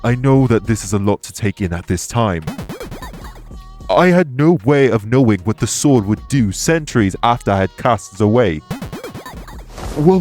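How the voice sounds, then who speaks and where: An actor playing a courteous, remorseful immortal elven king in an audio roleplay, speaking in formal, measured phrases. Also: mid-range voice, a little mellow, natural voice. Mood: urgent, exasperated. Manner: formal, measured, stately wording. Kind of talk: scripted audio roleplay acting.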